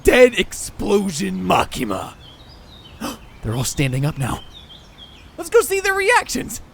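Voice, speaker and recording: A man's voice: high-pitched, wildly swooping pitch, takes it slowly, creaky, a little hiss or hum, exaggerated voice. A man playing a brash, emotional teenage boy in an anime fan dub, swinging between hesitation and enthusiasm. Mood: pleased.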